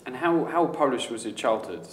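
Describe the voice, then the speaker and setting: A man's voice: mid-range voice, lively intonation, moderate pace. A man hosting an interview, asking questions in a friendly, joking manner in a temporary office studio. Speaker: podcast host. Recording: echoey room with faint background hum.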